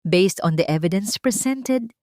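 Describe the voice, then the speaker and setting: A woman's voice: medium pitch, animated, measured pace, a little mellow, no echo, natural voice. Woman acting stern lady judge, authoritative, formal, unwavering, Filipino accent, audio story, dry recording. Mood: final, commanding. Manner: formal, firm, unwavering, clear.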